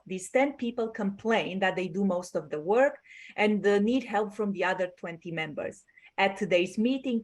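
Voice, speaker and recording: A woman from Romania leads a class over a video call, at a middle pitch. She explains things patiently and keeps checking that her students follow along. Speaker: teacher. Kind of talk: online class instruction.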